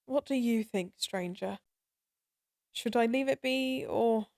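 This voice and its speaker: A woman playing a lonely, grieving goddess in an audio drama, speaking haltingly and with raw, pleading emotion. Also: on the high side, gravelly, darkish tone. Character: sorrowful, lonely forsaken goddess, grieving and guilt-ridden. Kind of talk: scripted audio roleplay drama acting.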